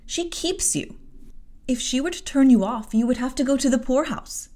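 A strict household servant, her voice pitched up and pushed louder as she lectures a child, put on by a woman reading a classic novel aloud. Firm, scolding delivery, pitch swooping widely between phrases. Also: lively intonation, moderate pace. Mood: lecturing. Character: stern adult female servant scolding a girl.

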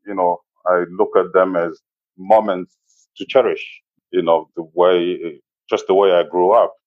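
A man in his forties with a Zimbabwean accent, speaking as an interview guest in a measured, halting way and often saying "you know". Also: low-pitched, flat delivery, dry recording, narrow, tinny audio. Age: 40s. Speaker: interview guest, safari business owner. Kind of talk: conversational interview, personal recollection.

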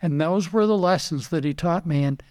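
Older man, retired racer, storyteller, quotes people, somewhat high voice. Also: moderate pace. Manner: animated anecdotes, quoting others, repeats words. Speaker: guest, retired racing driver. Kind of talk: casual storytelling interview.

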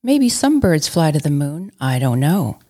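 An eager little bear cub boy from a storybook, earnest and full of hope, read by a woman. The voice sits low and unhurried, gently spoken. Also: very animated, heavily acted. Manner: earnest, hopeful. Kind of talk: read-aloud picture book.

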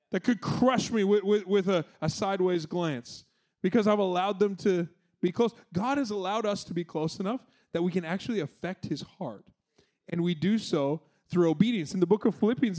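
High-voiced pastor preaching with energy. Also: male voice. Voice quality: loud voice. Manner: energetic, conversational preaching with playful asides. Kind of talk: sermon.

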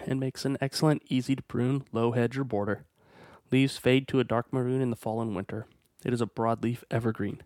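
A man with a stuffed-up voice from a cold, hosting a plant nursery's weekly feature and reading plant guide notes in a steady, informative way. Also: medium pitch, no room sound, studio quality. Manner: steady, informative, reads from a script.

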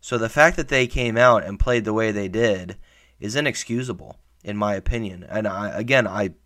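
A man's voice: on the low side, moderate pace, a bit bright. A man hosting a solo sports podcast, fighting the start of a cold and rambling through a frustrated game recap. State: early cold, slightly hoarse. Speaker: podcast host.

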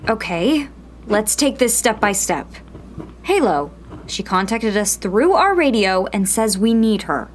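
A woman's voice: medium pitch, expressive, unhurried, a little hiss or hum, close to an everyday voice. A woman playing a headstrong, skeptical young rebel in a sci-fi radio drama, speaking with insistent emotion. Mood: skeptical, exasperated.

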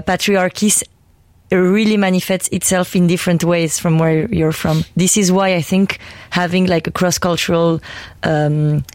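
A woman's voice: fairly low pitch, darkish tone, no echo. A woman musician from Armenia and France, speaking thoughtfully in an interview and searching for words as she goes. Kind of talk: interview conversation.

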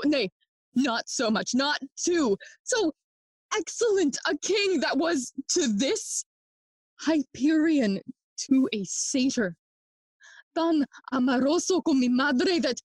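Brooding young prince, high and slow, theatrical Shakespearean delivery, bitter with grief. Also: female voice, no echo. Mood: despairing, disgusted. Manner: theatrical, impassioned, switching between English and Spanish. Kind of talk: bilingual Shakespearean audio play acting.

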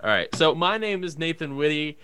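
A man's voice: a little high, very animated, brisk, sharp tone, slight background noise. A man in his twenties with an American accent, chatting casually and jokily as a podcast co-host. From Northern Kentucky, United States. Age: 20s. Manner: jokey, laughs often.

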